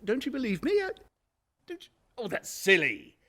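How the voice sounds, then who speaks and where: Guilt-ridden murderer, bright high voice, stammering, over-eager, frantic, theatrical radio drama. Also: male voice, wildly swooping pitch, normal speed, full character voice. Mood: anxious, defensive. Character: nervous guilty murderer, frantic, ingratiating, unravelling man. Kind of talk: theatrical radio play acting.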